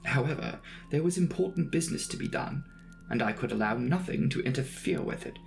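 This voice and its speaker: A man narrating a classic novel as a dramatic audiobook, with measured, formal, theatrical phrasing. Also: medium pitch, lively intonation, gravelly, soft background music.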